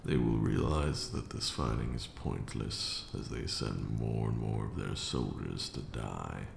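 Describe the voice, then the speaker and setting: An abyssally deep, heavily creaking voice of an ancient male vampire lord, acted by a man, unspools a slow ASMR monologue. He is brooding and grandiose, cruel yet weary, with measured, hushed words echoing in a roomy space. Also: exaggerated voice. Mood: calm, disdainful. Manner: measured, grandiose, archaic phrasing. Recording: roomy echo, audible background noise.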